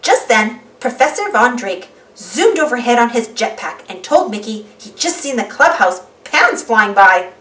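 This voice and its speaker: A woman reading a children's storybook aloud as an animated narrator. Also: fairly high pitch, a little slow, few pauses. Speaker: storybook reader. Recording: roomy, slightly distant mic.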